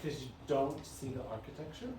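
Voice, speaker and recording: A man's voice: mid-range voice, moderate pace. Man in an echoey, noisy room. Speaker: architecture academic, panel speaker. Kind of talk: unscripted academic conversation. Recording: echoey room, distant mic, noisy background.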